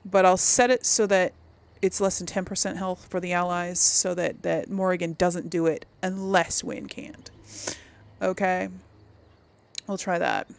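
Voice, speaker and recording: A woman's voice: lowish voice, steady tone, unhurried, gravelly, clean tone. A woman giving casual game commentary, musing aloud as she plays and role-plays dialogue. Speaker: gamer, series host.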